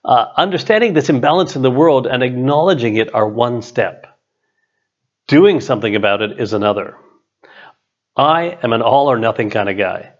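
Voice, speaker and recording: Middle-aged male author-narrator, medium pitch, measured inspirational read with emphatic pauses, slightly muffled sound. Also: lively intonation. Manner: measured, emphatic pauses before contrasting phrases. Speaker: author reading own book chapter. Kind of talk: scripted narration, inspirational business writing.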